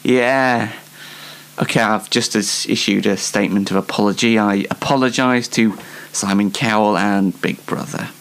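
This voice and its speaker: A man's voice: lowish voice, steady tone, moderate pace, fairly bright tone. A twenty-year-old man hosting his own show in a rambling, self-mocking, comedic chat with playful theatrics. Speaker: host of a YouTube show. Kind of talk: chatty comedic monologue.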